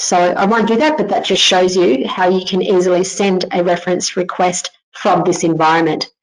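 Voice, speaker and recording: A woman giving an informal narrated software tutorial, explaining things step by step as a company presenter. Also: mid-range voice, poor audio. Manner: instructional, step-by-step.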